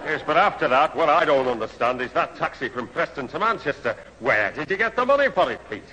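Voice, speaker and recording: A man playing brisk, questioning straight roles in a 1970s radio sitcom. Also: on the high side, very animated, sharp tone, slightly rough, dull audio, full character voice. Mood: insistent, probing. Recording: old hissy radio broadcast, roomy hall.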